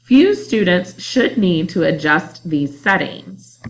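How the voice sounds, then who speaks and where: A woman teacher narrating a calm instructional screencast about online testing. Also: on the low side, slow. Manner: calm, explanatory.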